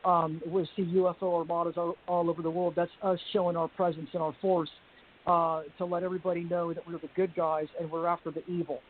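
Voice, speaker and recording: A woman with a deep voice giving a rambling personal account on a group call, halting with frequent restarts and fillers. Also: little pitch movement. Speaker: panel guest. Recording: tinny phone-like line with faint hiss.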